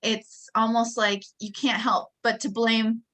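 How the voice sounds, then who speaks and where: A woman's voice: on the high side, flat delivery, a little slow, fairly bright tone. A woman in her late twenties from Wisconsin, a guest sharing personal stories earnestly, often laughing and restarting sentences. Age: 20s. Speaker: guest entrepreneur. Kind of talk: personal storytelling in interview.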